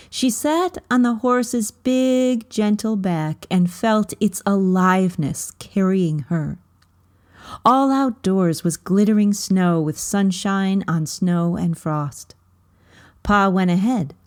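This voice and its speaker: A woman reading a children's novel aloud as storybook narration, with measured, deliberate phrasing. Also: medium pitch, darkish tone.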